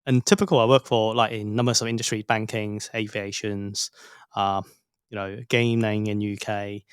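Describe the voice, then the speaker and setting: A man from Hong Kong in his thirties answers haltingly, often pausing to search for words. His voice sits low and sounds smooth, close to the mic. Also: level tone, no room sound. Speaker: startup cofounder guest. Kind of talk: casual interview talk.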